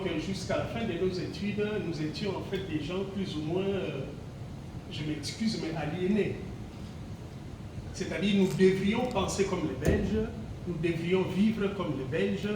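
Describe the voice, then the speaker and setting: Older man speaking in an echoey hall. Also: high-pitched. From Congo (DRC). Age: 60s. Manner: hesitant, many repeated words and fillers. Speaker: teacher giving a gala speech. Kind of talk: reflective gala speech. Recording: very echoey hall, distant mic, background noise.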